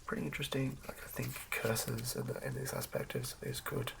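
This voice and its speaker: A man reading in a hushed, breathy ASMR whisper with a halting, measured delivery. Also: mid-range voice, big pitch swings, noisy background. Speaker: host of an ASMR channel. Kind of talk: ASMR reading of game rulebook.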